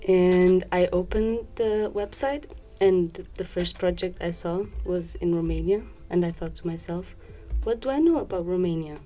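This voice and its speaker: A woman's voice: fairly low pitch, normal speed, few pauses, mellow, faint music behind. A woman in her twenties, born in Brazil and raised in Portugal, chatting casually as a guest and laughing often. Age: 20s. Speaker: guest, former volunteer. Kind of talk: casual interview chat.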